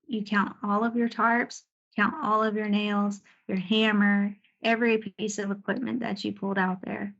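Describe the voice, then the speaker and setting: A woman's voice: mid-range voice, level tone, normal speed, gravelly, fairly bright tone. Woman giving an informal talk, dull lo-fi audio. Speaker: Navy air traffic controller giving a presentation. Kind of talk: informal slide presentation recounting deployment stories.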